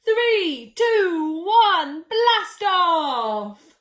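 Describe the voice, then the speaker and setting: A woman voicing an eager, big-dreaming female hippo in a children's storybook, excited and polite. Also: very high voice, very slow, reverberant, voice pushed up, full character voice.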